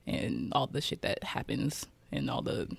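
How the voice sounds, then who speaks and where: A man's voice: medium pitch, huge pitch swings, measured pace, creaky, husky, dry recording. A man in his early twenties with an American accent, talking casually and candidly as an interview guest. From Kansas City, United States. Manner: candid, informal, swearing freely. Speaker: interviewed poet and musician.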